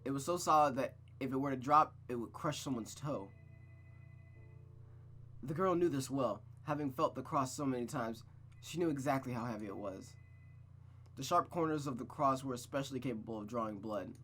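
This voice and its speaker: Story reader with excited asides. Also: medium pitch, moderate pace, faint music behind. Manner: reads the narration, then breaks off into blunt, excited asides. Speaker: channel host reading a visual novel aloud. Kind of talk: narration with casual reactions.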